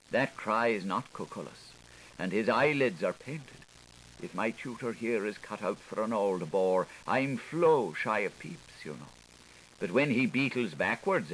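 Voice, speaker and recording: A man's voice: on the low side, fairly bright tone, poor audio. Theatrical male audiobook reader, swooping intonation. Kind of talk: theatrical literary narration of experimental prose. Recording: hissy older studio recording.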